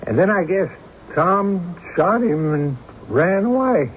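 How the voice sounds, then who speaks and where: A man's voice: high voice, expressive, takes it slowly. An actor playing an uneasy, plain-spoken country man in an old-time radio drama, with a hesitant rustic manner and a muffled recording. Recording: muffled, faintly noisy radio broadcast.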